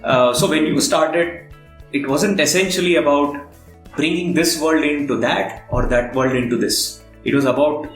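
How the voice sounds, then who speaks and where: A man interviewing conversationally, thinking aloud and rephrasing at length in a halting way. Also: on the high side, fairly bright tone. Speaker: podcast interviewer. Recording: roomy echo, faint background music.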